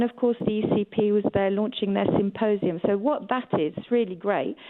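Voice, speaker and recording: A woman's voice: medium pitch, phone-quality sound. A woman speaking as a campaigning guest in a radio interview, stressing key words emphatically. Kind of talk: radio interview, advocacy talk.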